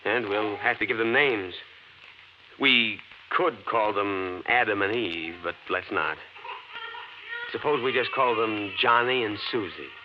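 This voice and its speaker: A muffled old radio recording carries an actor as a gentle, steady young husband. His voice is fairly low, firm and soothing. He sounds practical and calm, in classic radio drama style. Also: unhurried, background noise, natural voice. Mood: reassuring.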